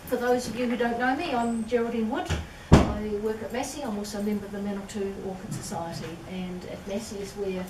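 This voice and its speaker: A woman's voice: medium pitch, steady tone, a little fast, few pauses. Cheerful woman introduces a speaker, recorded far off in an echoey, noisy room. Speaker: event host introducing a speaker. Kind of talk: introduction speech. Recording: distant roomy recording with noise.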